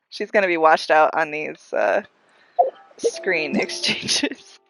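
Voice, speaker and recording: A young woman streaming a game with a very lively pitch and an unhurried pace. She sounds congested and talks in a casual, gushing fan's way, with faint game music behind her. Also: poor audio. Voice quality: congested, audibly breathy intakes. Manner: giggly, gushing fan. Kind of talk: casual live gameplay chat.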